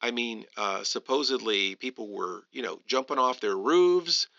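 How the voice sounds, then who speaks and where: A man's voice: mid-range voice, expressive, unhurried, vocal fry, sharp tone, lo-fi sound. A man giving rambling, casual podcast commentary, leaning heavily on filler phrases as he talks. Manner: rambling, heavy use of "you know". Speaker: podcast host.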